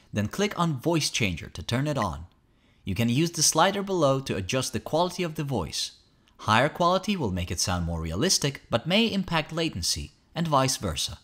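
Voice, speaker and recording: A man narrates a scripted how-to guide. His pitch moves widely and expressively at a middle register, giving the step-by-step instructions an upbeat feel. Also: fairly bright tone. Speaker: tutorial narrator. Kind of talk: scripted promotional tutorial narration.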